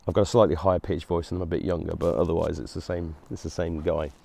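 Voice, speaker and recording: A man's voice: low-pitched, steady tone, quick pace, gravelly, darkish tone. A middle-aged man hosting his own show, talking earnestly and rambling, with many restarts. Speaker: host. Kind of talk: solo monologue to camera.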